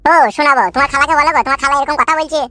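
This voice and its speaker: A woman's voice: high-pitched, flat delivery, rapid-fire, heavy background noise, pitched below the performer's own voice, natural voice. A woman voicing a grumpy, bossy young husband in a comedy cartoon, talking down in a scolding way. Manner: scolding, condescending.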